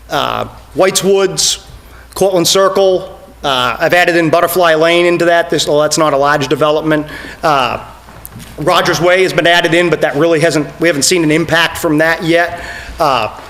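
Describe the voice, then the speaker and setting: A middle-aged man, the town's fire chief, explaining his department to a finance committee in a steady way, with many "uh" fillers and a voice that is going hoarse. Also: a little high. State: losing his voice. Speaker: fire chief presenting budget. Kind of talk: committee presentation. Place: town meeting room.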